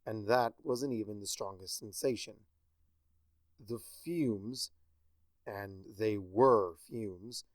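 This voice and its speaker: A man reading a story aloud with a wry, expressive delivery. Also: medium pitch, dry recording. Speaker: fanfiction narrator.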